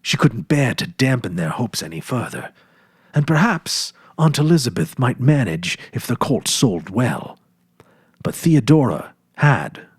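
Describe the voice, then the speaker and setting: A man's voice: on the low side, breathy edge, no room sound, studio quality. A man narrating a story as a dramatic audiobook reading.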